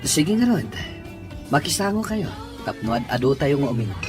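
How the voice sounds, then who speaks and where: A man's voice: mid-range voice, sing-song, moderate pace, lightly acted. A man voicing a married man who banters casually while out drinking with friends. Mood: bantering. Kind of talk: amateur audio drama acting. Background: faint music.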